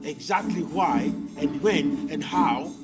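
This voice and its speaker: A man hosting children's storytelling in a theatrical manner, with dramatic pauses and teasing asides. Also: fairly high pitch. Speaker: storyteller, host. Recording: music playing behind the voice.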